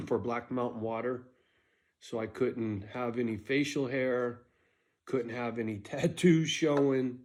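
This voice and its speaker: A man's voice: medium pitch, a little mellow. A middle-aged man casually telling a story from his own life, blunt and profane, often tagging "right?" onto his sentences.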